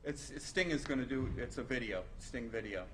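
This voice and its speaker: A man's voice: mid-range voice, brisk. A man working as studio crew, giving cues and short explanations off camera. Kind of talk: off-camera cueing. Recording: noisy studio ambience.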